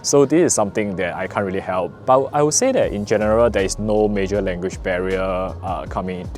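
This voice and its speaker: Low-voiced Singaporean man vlogging. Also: brisk, soft background music. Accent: Singaporean English. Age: young adult. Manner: relaxed and earnest, frequent "uh" fillers. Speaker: vlogger, recent business school graduate. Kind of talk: casual talk to camera.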